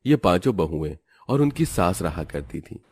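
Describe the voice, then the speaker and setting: Male narrator for a scripted tale, deep register, storytelling delivery. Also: big pitch swings, moderate pace, a little mellow, slightly rough.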